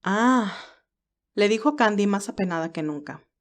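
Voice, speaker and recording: A woman's voice: mid-range voice, a little fast. A woman reading scripted fiction as the narrator of an audio story.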